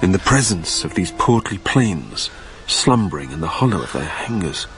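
A man's voice: deep voice, sing-song, normal speed, a bit bright, faint music behind, full character voice. An actor playing a lonely, troubled dead man who narrates in a brooding, literary manner in a radio drama.

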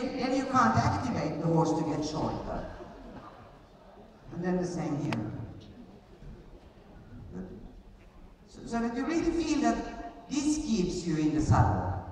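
A middle-aged woman working as a riding coach, explaining and demonstrating in a hands-on way at a live clinic. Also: medium pitch. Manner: hands-on, explanatory, repeating short commands. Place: large indoor riding arena. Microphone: picked up from far camera in audience. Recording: distant, very echoey arena, background noise.

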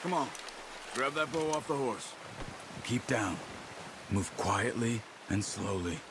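A man playing a calm, serious hunter who guides a companion with measured, terse instructions in video game dialogue. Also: medium pitch, noisy background. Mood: hushed, instructing. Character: seasoned male hunter guiding his companion, calm, patient, serious. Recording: loud music behind the voice.

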